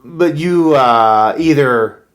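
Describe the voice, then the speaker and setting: An American man co-hosting a casual chat podcast, explaining things in a halting, conversational way. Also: medium pitch. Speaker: podcast co-host.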